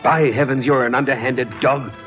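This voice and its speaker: An actor playing a haughty, stern gentleman husband in a pompous old radio drama style. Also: medium pitch, fairly even intonation, normal speed, lightly acted. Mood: indignant. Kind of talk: 1940s radio drama acting. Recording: dull old radio broadcast, music behind.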